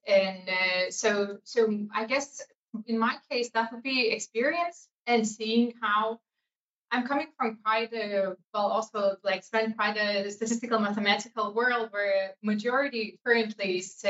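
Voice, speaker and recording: A woman with a mid-range voice speaks as a panel guest, thinking aloud and pausing often to find the right words. The recording is slightly dull and lo-fi. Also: mid-range voice, fairly bright tone. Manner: reflective, searching for words. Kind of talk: conversational panel discussion. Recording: dull lo-fi audio.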